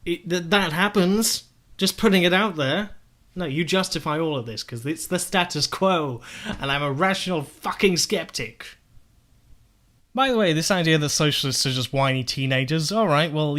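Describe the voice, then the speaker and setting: A man's voice: on the high side, fairly bright tone. Sarcastic man arguing politics. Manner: sarcastic, profane, rhetorical questions. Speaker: political video essayist. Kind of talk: argumentative commentary rebutting clips.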